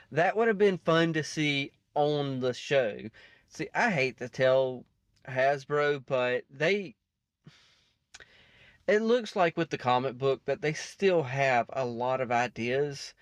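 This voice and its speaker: A man's voice: medium pitch, slow, no room sound. A man giving casual, rambling reaction commentary as host, often chuckling between asides.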